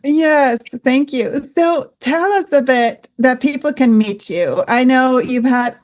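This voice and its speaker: A woman's voice: sits high, slow, rough recording. A woman with a Swiss accent hosting a wellness interview, with an enthusiastic, effusive manner. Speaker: host.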